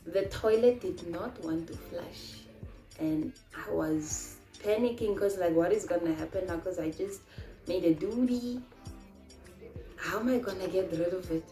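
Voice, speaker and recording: A woman's voice: on the low side, unhurried, dark tone, roomy sound, faint music behind. A woman in her twenties from Namibia, answering viewer questions in a casual, chatty vlog and telling stories with many fillers. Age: 20s. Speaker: vlogger.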